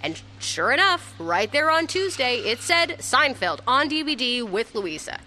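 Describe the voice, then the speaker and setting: A woman's voice: high voice, very animated, measured pace, pushed and bright, a little hiss or hum, exaggerated voice. A young woman playing a flustered, grieving ex-girlfriend who stammers her way through a confessional memorial speech in an audio drama. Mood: exasperated, animated. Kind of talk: audio drama monologue, naturalistic.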